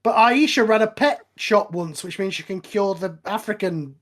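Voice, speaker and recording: A man with a fairly high-pitched voice gives loose, conversational movie commentary. He talks as one of a group watching along, wry and joking as he goes. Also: moderate pace. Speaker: audio drama writer on cast commentary track. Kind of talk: casual film commentary chat.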